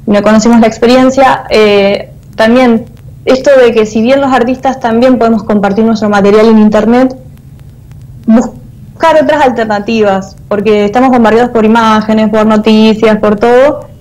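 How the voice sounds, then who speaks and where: Higher-voiced Argentine woman on video call. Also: steady tone, moderate pace, darkish tone, low-quality recording. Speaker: guest, theatre artist and teacher. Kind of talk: interview answers, conversational. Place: indoor room, over video call. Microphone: earphone mic on a video call.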